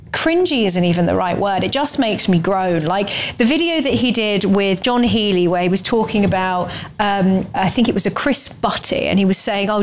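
A woman with a middle-pitched voice argues her point as a political panelist. She is articulate and pointed in her criticism. There is faint noise under her voice.

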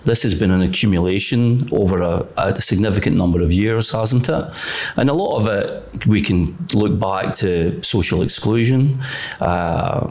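A middle-aged Scottish man, a further education college leader, who answers interview questions in a measured, careful, policy-minded way. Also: lowish voice, darkish tone. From Greenock, Scotland.

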